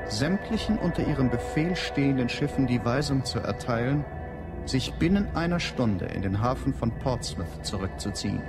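A stern Martian fleet commander, acted by a man in a radio play. He speaks formally and coldly, used to being obeyed. Loud, ominous music swells behind his medium-pitched voice. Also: expressive, moderate pace, lightly acted. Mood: stern, commanding. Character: stern Martian air fleet commander, male, cold and unyielding. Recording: voice under very loud dramatic music.

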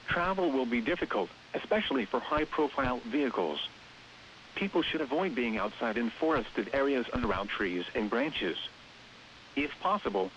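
A synthetic-sounding male announcer reads scripted weather bulletins and warnings in a flat, evenly phrased delivery. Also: mid-range voice. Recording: hissy, dull radio broadcast with noise. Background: radio hiss.

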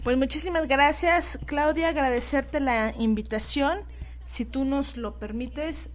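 A woman with a Mexican accent speaking as a guest on a live radio talk show. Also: on the high side.

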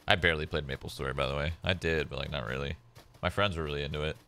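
A man's voice: extremely low pitch, steady tone, rapid-fire, bright, forceful tone. A young man whose first language is Polish, chatting casually as a game streamer at home, laid-back and rambling with lots of "like" fillers. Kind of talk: casual chat while gaming.

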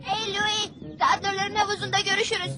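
A woman's voice: very high-pitched, flat delivery, normal speed, over loud music. A woman playing a carefree young child in a dubbed family cartoon.

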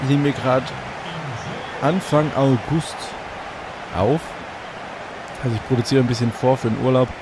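A man with a slight cold hosting let's play commentary on a football video game from a hot room at home, getting excitable and shouting at goals. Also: mid-range voice, a little slow, pauses often. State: a bit congested with a cold. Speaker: gaming channel host. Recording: audible fan noise.

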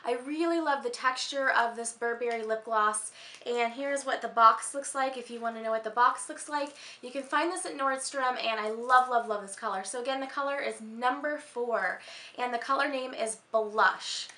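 Casting: American female vlogger, 30s, high-pitched and slightly hoarse, gushy casual chat, recorded in an echoey room at home. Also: moderate pace, bright, forceful tone. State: slightly hoarse, losing voice from a cold. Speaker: beauty and handbag vlogger. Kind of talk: casual, chatty vlog talk to camera. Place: small furnished room at home. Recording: roomy indoor echo.

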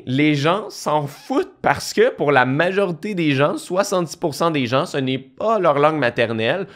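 A young man with a Québécois French accent, explaining in a casual talking-head video from a small home studio, lively and gesturing, restarting phrases as he thinks. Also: mid-range voice. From Quebec. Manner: animated, gestures a lot, thinks aloud with restarts. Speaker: online course creator. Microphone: studio mic on boom arm beside him.

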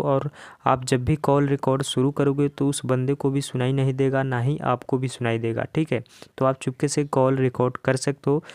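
An Indian man hosts a casual how-to video for phone users. His pitch sits in the middle of the male range, and the sound is dry and close. He speaks straight to viewers, instructing them step by step. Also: darkish tone, clear, resonant tone, dry recording. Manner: addresses viewers directly, instructional. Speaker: tech tutorial host.

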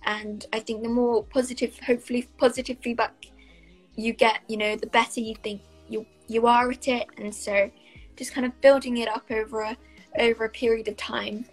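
A woman's voice: a little high, flat delivery, moderate pace, soft background music, rough recording. A young woman from South Wales with a Welsh accent, answering interview questions casually over a video call, thoughtful and full of fillers. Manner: thoughtful, many fillers and hedges. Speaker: actress guest. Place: small bright room indoors. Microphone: laptop webcam on a video call.

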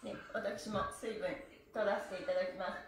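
A woman's voice: mid-range voice, fairly even intonation, moderate pace. A middle-aged woman teaching a live-streamed stretch lesson, cheerful, playful and chatty, laughing often. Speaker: stretch instructor. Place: small room at home, on a floor mat. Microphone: camera across the room. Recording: roomy echo, far from the mic, faint music.

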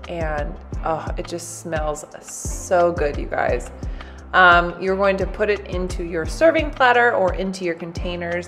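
A woman in her thirties, a cooking channel host, chatting brightly through a recipe in a home kitchen. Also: on the low side. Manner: bubbly, chatty, addresses viewers as "you guys". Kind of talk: recipe demonstration, voice-over and to camera.

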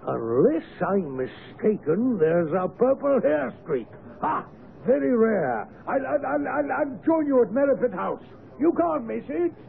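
An actor playing an eager, affable but shifty English naturalist in a radio drama. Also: male voice, very high-pitched, sing-song, unhurried, creaky, full character voice. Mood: excited. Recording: phone-like, narrow-band old radio broadcast.

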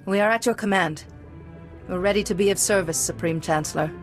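A young heroine played by a woman with a mid-pitched voice. She is calm, measured and resolute, a natural leader in a video game cutscene, with faint music. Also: lightly acted. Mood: composed. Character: composed, resolute young female Jedi leader.

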